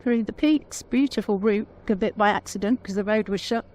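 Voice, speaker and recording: A woman with a naturally quiet voice, chatting casually on a vlog and laughing often. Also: medium pitch, fairly quick. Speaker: motorcycle vlogger, pillion rider. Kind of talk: casual chat.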